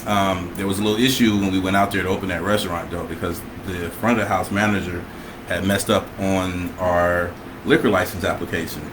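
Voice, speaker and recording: A middle-aged man from Michigan, a chef, talking about his life in a relaxed, hesitant way with many fillers. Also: low voice, fairly quick. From Michigan, USA. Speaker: guest, chef. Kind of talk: casual conversation on a livestream. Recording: noisy home recording.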